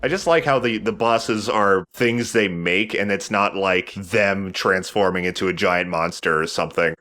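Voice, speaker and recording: Bright-voiced, lowish man commentating a game. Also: normal speed, soft background music. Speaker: let's play host. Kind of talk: casual gameplay commentary.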